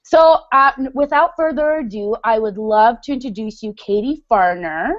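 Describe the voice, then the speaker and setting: A woman's voice: a little high, normal speed, no room sound. Bubbly woman host on a dry, lo-fi call. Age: around 30. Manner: effusive and upbeat, heavy use of "super". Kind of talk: casual online hangout. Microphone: video call.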